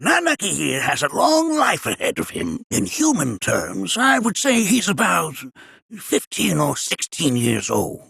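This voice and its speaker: An actor playing an eccentric, jolly old scholar in a video game, with a gravelly, aged voice and a courtly, lecturing way of talking. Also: male voice, a little high, wildly swooping pitch, fairly bright tone, no room sound, studio quality, heavily acted. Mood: jovial, welcoming. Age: older. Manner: courtly, lecturing, with drawn-out musing. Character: eccentric, jolly old male sage and scientist. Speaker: planetology scholar and teacher. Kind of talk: theatrical video game character acting.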